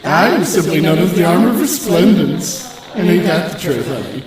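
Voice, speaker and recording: A man's voice: a little high, expressive, normal speed, very echoey, recorded from a distance, a crowd in the background, natural voice, low-quality recording. A man playing a vain, gossipy talking suit of armor in a comic audio drama, with a campy, gushing, sassy drawl. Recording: music behind the voice.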